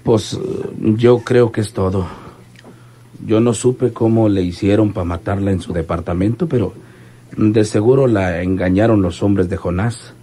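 Nervous folksy male informant in an old radionovela, low mellow voice, kinda hesitant. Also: steady tone, measured pace, slightly rough. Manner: hesitant, folksy rural phrasing. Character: wary male informant recounting an overheard murder plot.

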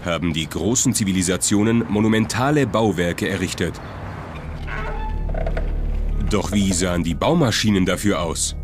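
Male narrator, low register, scripted history documentary read with music clearly underneath. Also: fairly quick.

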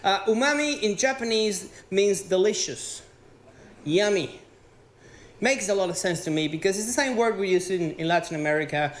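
A man's voice: high-pitched, lively intonation, moderate pace. A man, doing a rambling, profane stand-up routine on stage with a handheld mic. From Venezuela. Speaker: stand-up comedian. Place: theater stage. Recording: echoey theater.

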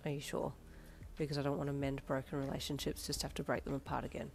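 Woman reading guarded young man in a storybook, quiet and gruff. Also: little pitch movement, fast, slight background noise. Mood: cold. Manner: low, subdued and withdrawn.

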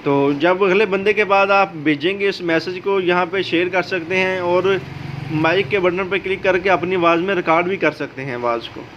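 A man's voice: high-pitched, normal speed. A man narrating a how-to video as a tutorial host. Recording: dull lo-fi audio, steady background noise.

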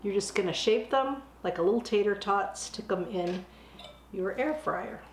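A woman's voice: mid-range voice, normal speed. Middle-aged woman with a cold, casual step-by-step cooking demo, recorded in a roomy kitchen with faint room noise. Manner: friendly, chatty, step-by-step instructions. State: slightly hoarse from a cold. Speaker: cooking channel host. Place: home kitchen, indoors.

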